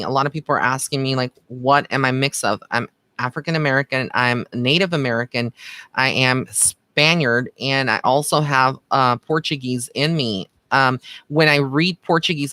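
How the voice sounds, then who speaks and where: Bright-voiced man chatting casually on a livestream. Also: mid-range voice, no echo. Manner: informal, chatty, addresses listeners with endearments. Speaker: psychic medium giving live card readings. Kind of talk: casual livestream chat with viewers.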